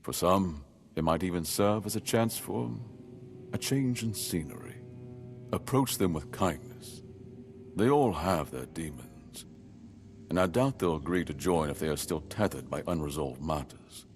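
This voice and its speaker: An actor playing a solemn, duty-bound noble lord in a game, speaking in a measured, stately way. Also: male voice, low voice, lively intonation, darkish tone, faint music behind. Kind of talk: voiced game dialogue.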